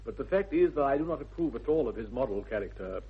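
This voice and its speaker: A stiff, proper English gentleman in a vintage radio comedy. He speaks with upper-class formality and pompous indignation. The voice is very rough-edged and medium in pitch, on a slightly dull recording. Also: expressive, fast. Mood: stern, indignant. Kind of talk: 1960s radio comedy acting.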